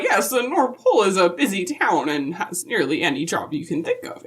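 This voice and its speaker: Woman playing animated, folksy, genteel Mrs. Claus. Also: mid-range voice. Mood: folksy, proud. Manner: genteel, dithering, old-fashioned phrasing. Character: kindly, prim, folksy Mrs. Claus from the North Pole. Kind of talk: in-character holiday interview.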